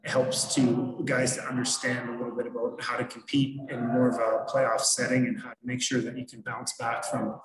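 A middle-aged man, a hockey head coach, answering postgame questions over a video call in a measured, analytical way with frequent fillers. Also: medium pitch, completely flat delivery, over a noisy crowd. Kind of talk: postgame press conference answers. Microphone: video call webcam. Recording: roomy echo.

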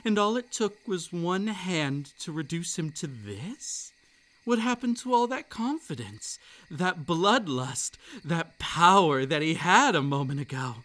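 A man's voice: a little high, lively intonation, measured pace. A man voicing an unhinged yandere kitsune. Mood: taunting. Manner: gleeful, unhinged laughter between lines; drops to soft breathy whispers. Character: possessive yandere male kitsune monster, menacing. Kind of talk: roleplay ASMR.

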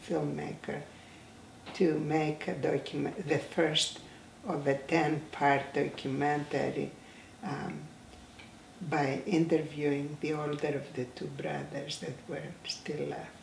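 An elderly woman in her eighties, from Greece, recalls her life in an interview, slow and halting with frequent restarts. Her voice has a gravelly edge. She sits in a room, picked up from a distance with a roomy echo. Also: low-pitched, little pitch movement, darkish tone, a little hiss or hum. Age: 80s. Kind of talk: oral history interview. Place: indoor room, seated in a chair. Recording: roomy, slightly distant sound.

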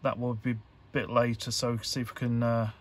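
A man giving an informal hands-on tutorial, talking in a halting, hesitant way as he thinks aloud. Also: medium pitch, flat delivery. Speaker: electronics hobbyist presenter.

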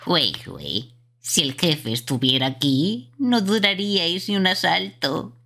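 Woman voicing a low sneering bat henchman. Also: animated, slow pace, bright, forceful tone, slight background noise, heavily acted. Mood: boastful. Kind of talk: amateur live game dubbing.

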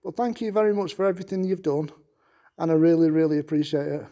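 A man's voice: on the high side, quick pace, mellow, lo-fi sound. A man with a Manchester accent, a ghost hunter politely calling out to a spirit in a stone castle room at night. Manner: polite, coaxing, repeats requests with please. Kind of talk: paranormal investigation calling out to spirits.

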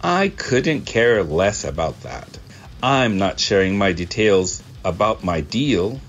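A man's voice: lowish voice, big pitch swings, slow, a little hiss or hum. A man voicing a cocky, suspicious young office salesman in a manga dub, boastful and dismissive. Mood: defensive, dismissive. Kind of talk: manga dub character acting.

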